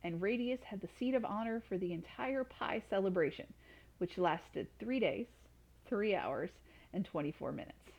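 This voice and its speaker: A woman's voice: mid-range voice, expressive, moderate pace, gravelly, muffled recording. A woman storyteller reading expressively to children. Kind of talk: expressive storybook read-aloud.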